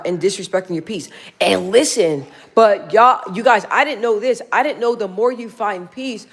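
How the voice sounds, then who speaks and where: A woman chatting casually on a livestream, reading out viewer comments and talking aside to people in the room, rambling and laughing often. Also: mid-range voice. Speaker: livestream host.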